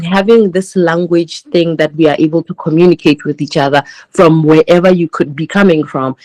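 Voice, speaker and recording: A woman's voice: low-pitched, a little mellow, dry recording, rough recording. Motswana woman debater, dry close sound. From Botswana. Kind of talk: debate speech.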